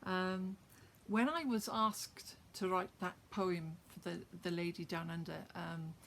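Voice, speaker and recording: A Welsh woman with a lowish voice talks straight to her viewers, chatty and full of little "um"s and restarts. Then she settles into reading a rhymed poem of her own. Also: steady tone, slow, fairly bright tone, slight background noise. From Wales. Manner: chatty and direct with viewers, many fillers and restarts. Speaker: poet sharing her own work. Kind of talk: casual chat, then a rhymed poem recitation.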